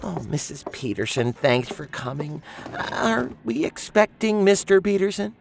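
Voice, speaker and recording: A woman's voice: medium pitch, very animated, a little slow, gravelly, noisy background. A woman playing a smug, sardonic middle-aged school principal in an audio drama, theatrical and condescending. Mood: brisk, firm.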